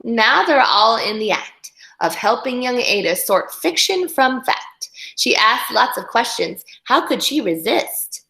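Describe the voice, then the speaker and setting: In an echoey room, a woman reads a picture book aloud for children. Her voice is fairly high and swoops expressively. She takes it slowly, dramatizing the characters and commenting as she goes. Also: very animated, creaky, bright, forceful tone. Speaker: children's story reader.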